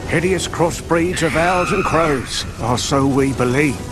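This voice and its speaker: Man playing an elderly male owl hermit, earnest, fairly high voice, game cutscene, loud music behind. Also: unhurried, close to an everyday voice. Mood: urgent. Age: older. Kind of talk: video game cutscene acting.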